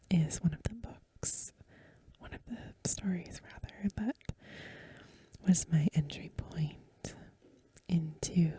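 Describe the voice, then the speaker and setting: A woman speaking in a hushed, gentle ASMR style, breathy and mostly whispered, unhurried as she hosts and reads aloud. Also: on the low side. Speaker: host of an ASMR channel, academic reader. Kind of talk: soft-spoken ASMR talk and story reading.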